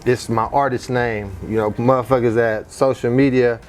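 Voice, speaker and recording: A man's voice: medium pitch, fairly even intonation, moderate pace, soft background music. A man from East Oakland with an Oakland accent, chatting casually and in slang as an interview guest. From East Oakland, California. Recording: clear background noise, ambience.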